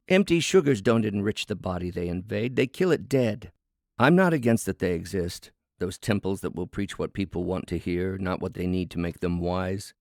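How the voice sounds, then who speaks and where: A man's voice: fairly low pitch, no echo, studio quality. A man voicing a proud, defiant working woman, a cook in a storybook fable, speechifying with indignant emphasis. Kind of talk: dramatic storybook fable reading.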